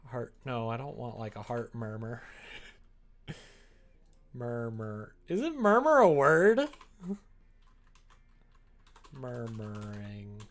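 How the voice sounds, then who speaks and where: A man with a mid-pitched voice chats casually on a livestream while he writes. He thinks out loud, muttering his way through ideas in an informal, unpolished way. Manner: thinks aloud, reads typed prose slowly and haltingly. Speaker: streamer writing a visual novel. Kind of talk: casual livestream chat, murmuring draft lines while writing.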